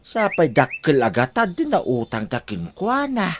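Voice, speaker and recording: Worried middle-aged Ilocano husband. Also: male voice, a little high, wildly swooping pitch, a little slow, a little hiss or hum. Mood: worried, questioning. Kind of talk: audio drama acting.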